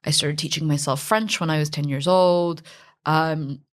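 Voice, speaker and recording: Low-voiced woman guest. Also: steady tone, normal speed. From Silicon Valley, USA. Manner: chatty, self-deprecating, laughs often. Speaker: guest, fintech founder. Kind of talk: conversational interview.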